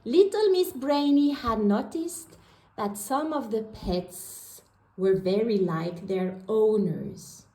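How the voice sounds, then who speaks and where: A woman reading a children's picture book aloud in an expressive, deliberate storytelling manner. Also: on the high side, roomy sound, rough recording. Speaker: storybook reader.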